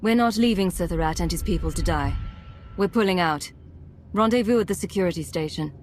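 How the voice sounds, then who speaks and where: A woman voicing a resolute female agent who commands a covert team in a video game, speaking with measured authority. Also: on the low side, slight background noise, lightly acted. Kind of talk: scripted video game acting.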